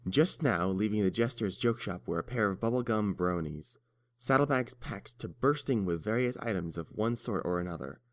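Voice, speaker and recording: Low-voiced male story narrator. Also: lively intonation, moderate pace, no room sound, clean studio sound.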